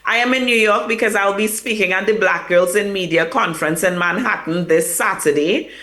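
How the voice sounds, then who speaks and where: A woman's voice: medium pitch, a little fast, pushed and bright. A woman publicist answering interview questions over a remote link, earnest and reflective, with fillers and restarts. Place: hotel or room in New York, remote link.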